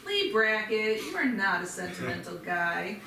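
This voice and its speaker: A woman's voice: mid-range voice, sing-song, unhurried, creaky. A woman reading the part of a sheriff's teasing, affectionate wife in an amateur table read, with a playful manner. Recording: echoey, noisy, distant microphone.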